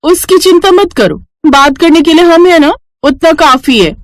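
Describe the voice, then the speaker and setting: Woman playing bossy young daughter-in-law, cartoon story, high shrill pitch, loud, rude, scornful, animated. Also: unhurried, no echo, clean studio sound.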